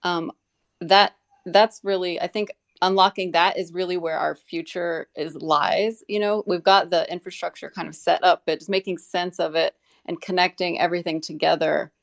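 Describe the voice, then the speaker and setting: Female panel guest, low gravelly fry, earnest meandering conversational delivery. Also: fairly even intonation, moderate pace. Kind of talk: casual live audio discussion.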